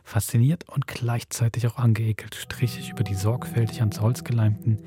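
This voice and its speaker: A man with a Swiss accent hosting a podcast, reading a spooky story aloud in a measured storytelling manner. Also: on the low side, flat delivery, music in the background. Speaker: podcast host.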